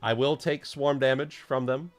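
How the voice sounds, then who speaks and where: A man's voice: medium pitch, steady tone, moderate pace, soft background music. A man chatting casually as a player in a tabletop fantasy game, explaining his moves and rules.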